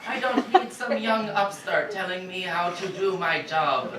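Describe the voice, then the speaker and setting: A woman voicing a huffy, gruff theme park old-timer in a staged cartoon reading. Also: lowish voice, expressive, measured pace, background noise. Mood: indignant. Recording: distant echoey room with audience.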